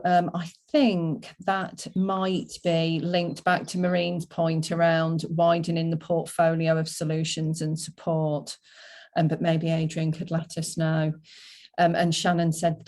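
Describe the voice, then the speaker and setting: A woman's voice: low-pitched, completely flat delivery, slow, darkish tone. A woman hosting a webinar, moderating and reading out comments and questions from attendees. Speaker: webinar host.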